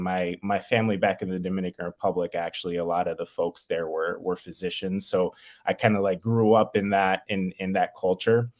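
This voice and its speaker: A man in his thirties from New York City, a physician talking about his career in a friendly, eager way, often repeating words as he restarts. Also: low-pitched. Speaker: infectious disease physician, guest. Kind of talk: casual interview.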